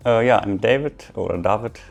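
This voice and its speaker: A man's voice: fairly low pitch, moderate pace, creaky, soft background music. A man from Germany with a German accent, chatting casually as an interviewee. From Düsseldorf, Germany. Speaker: interviewee, cat owner. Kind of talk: casual chat.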